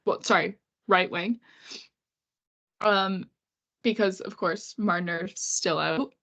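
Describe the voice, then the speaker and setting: A woman co-hosting a casual podcast, congested and sniffly with a cold, chatting quickly with frequent laughs and sarcastic asides. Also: medium pitch, a bit bright. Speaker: podcast co-host. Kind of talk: casual chat about hockey.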